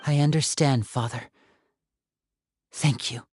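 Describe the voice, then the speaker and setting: Casting: devoted young male game hero, humble and sincere, soft husky tone, close dry sound. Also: medium pitch, fairly even intonation, slow, no echo. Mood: earnest resolve. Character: earnest, self-doubting, devoted young man, adopted heir. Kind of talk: dramatic video game voice acting.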